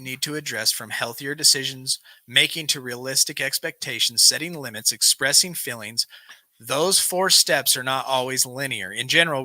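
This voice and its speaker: A man's voice: medium pitch, bright, forceful tone, dry recording. A man hosting a live recovery show, reading self-help material aloud and breaking off into informal, bantering asides.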